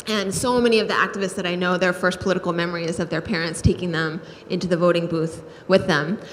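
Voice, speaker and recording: Woman panelist, earnest storyteller, mid pitch, echoing hall, faint background noise. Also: a bit bright. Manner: earnest, many fillers and restarts. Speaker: panelist, state legislator. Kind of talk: panel discussion, personal storytelling. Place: large hall, panel on stage.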